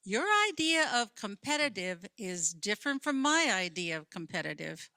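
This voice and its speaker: An actress playing a pensive, reluctant fifty-year-old librarian wife in a radio play, hesitant and protesting. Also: female voice, medium pitch, big pitch swings, moderate pace, sharp tone, no room sound, clean studio sound. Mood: defensive, bickering.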